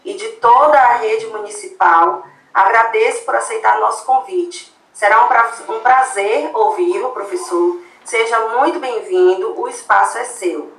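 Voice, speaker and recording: A woman's voice: a little high, unhurried, gravelly, roomy sound, far from the mic, low-quality recording. A woman formally introducing a guest speaker at an event. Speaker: event presenter.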